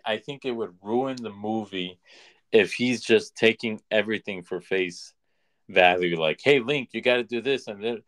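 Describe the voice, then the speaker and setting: Man, fairly low pitch, casual podcast co-host, opinionated, halting, thinking aloud.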